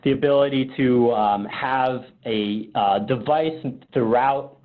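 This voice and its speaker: A man's voice: medium pitch, slow pace. Man presenting over phone-like webinar audio. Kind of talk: casual product webinar presentation.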